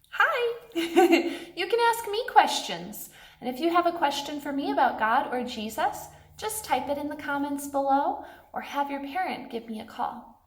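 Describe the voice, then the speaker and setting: A woman doing a read-aloud for young children, speaking in simple phrases aimed straight at little listeners. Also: sits high. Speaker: church pastor reading a children's Bible story aloud. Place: indoors in a classroom. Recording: echoey classroom.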